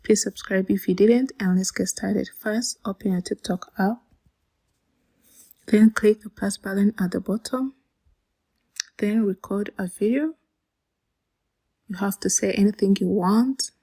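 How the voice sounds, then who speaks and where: A woman's voice: mid-range voice, a little slow, long pauses, warm tone, slightly rough. A woman hosting a scripted step-by-step tutorial narration. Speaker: tutorial host.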